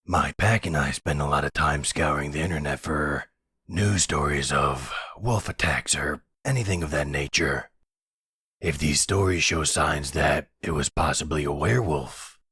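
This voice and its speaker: A man voicing a gruff but courteous werewolf pack leader in an audio roleplay, measured, formal and protective. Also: extremely low pitch, pushed and bright, no echo, clean studio sound, heavily acted. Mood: measured, explaining. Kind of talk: intimate audio roleplay acting.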